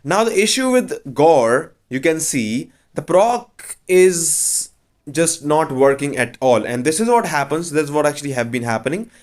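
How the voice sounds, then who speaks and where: A man's voice: a little high, big pitch swings, measured pace. Man with a cold narrating gameplay. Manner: chatty, blunt, offhand verdicts. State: has a cold. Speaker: gaming channel host. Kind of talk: casual game walkthrough commentary.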